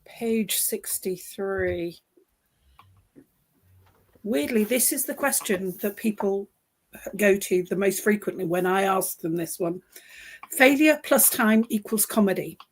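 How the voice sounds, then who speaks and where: A woman speaking slowly and deliberately while losing her voice. She reads a question out from a book in an unhurried, measured way. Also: mid-range voice. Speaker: guest.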